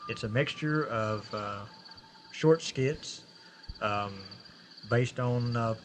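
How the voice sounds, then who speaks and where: A man's voice: medium pitch, slow, slight background noise. A man casually introducing his own show in a hesitant, rambling way.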